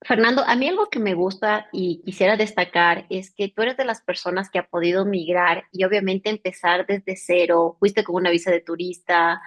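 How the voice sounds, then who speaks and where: Woman, interview host, mid-range pitch, long questions, frequent affirmations. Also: moderate pace, hardly any pauses. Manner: warm prompting with frequent affirmations. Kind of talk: casual interview conversation.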